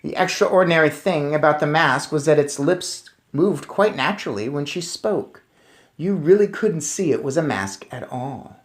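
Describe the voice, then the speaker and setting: A man's voice: fairly high pitch, lively intonation, normal speed. A man reading a story aloud and commenting on it as narrator. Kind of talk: read-aloud narration with casual recap.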